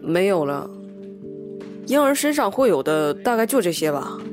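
A woman's voice: mid-range voice, big pitch swings, moderate pace, lightly acted. Guarded young man, played by a woman, over music. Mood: matter-of-fact. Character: guarded, bargaining young man abandoned as a baby. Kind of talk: audio drama dialogue.

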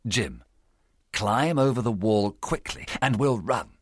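A man voicing a stern, principled gentleman doctor in an audiobook. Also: on the low side, animated, slow pace, breathy edge, dry recording, clean studio sound, full character voice. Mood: urgent. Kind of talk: audiobook character acting.

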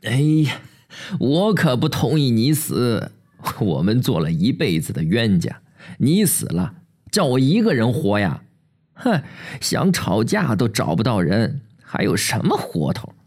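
A man voicing a drunken, boisterous old village chief who teases loudly and cackles. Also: medium pitch, wildly swooping pitch, a little slow, exaggerated voice. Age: older. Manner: loud, boisterous, teasing, cackling.

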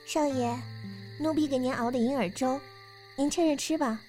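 Lady doing a meek little maid in chinese period drama, very high voice, coaxing, faint music behind. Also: normal speed, warm tone, close to an everyday voice, rough recording. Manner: deferential, pleading. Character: devoted, meek young maidservant, coaxing.

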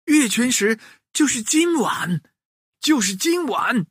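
Kaka, a man doing an excitable chicken king. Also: extremely high pitch, slow, husky, no room sound, clean studio sound, voice pushed up, exaggerated voice. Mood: alarmed. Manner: exclaiming, urgent.

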